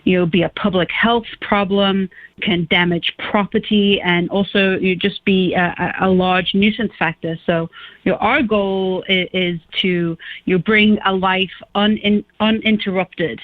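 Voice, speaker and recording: Guest: woman, middle-aged, faint Liverpool accent, halting and full of fillers, heard over a tinny phone line. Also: mid-range voice, steady tone. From England, Liverpool. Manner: halting, many fillers and restarts. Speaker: guest, pest management scientist. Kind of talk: radio interview.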